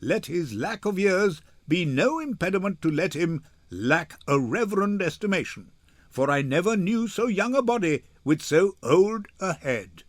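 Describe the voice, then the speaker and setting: Older man playing stately, measured duke in court. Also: high voice, big pitch swings, no room sound. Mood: formal, gracious. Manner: formal, authoritative, measured. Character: stately male duke presiding over a court. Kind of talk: dramatic Shakespeare reading.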